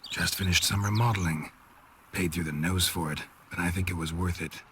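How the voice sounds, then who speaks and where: A gruff American-accented monster hunter from a fantasy game, with a very low, gravelly voice. He talks in short, dry, understated phrases with affection underneath. Some ambient noise sits behind him. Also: fairly even intonation, a little fast, a bit bright. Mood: relaxed, wry. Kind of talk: video game cutscene acting.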